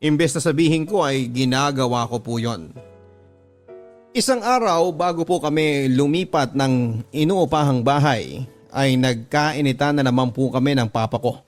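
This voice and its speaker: A man's voice: mid-range voice, normal speed. Filipino man narrating over background music. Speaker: radio drama narrator reading a listener's letter. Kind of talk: scripted first-person confessional storytelling. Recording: music bed under the voice.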